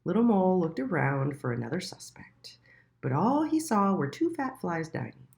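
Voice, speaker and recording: A woman reading a children's storybook aloud in a deliberate, expressive storytelling manner. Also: fairly low pitch, creaky. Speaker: children's book reader.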